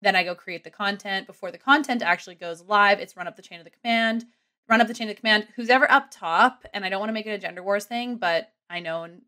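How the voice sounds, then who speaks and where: A woman in her thirties telling a long story casually on a podcast from a small home room, animated and rambling. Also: medium pitch, fast talker. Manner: animated, rambling, self-deprecating. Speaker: guest comedian and ski content creator. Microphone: studio mic close up with headphones.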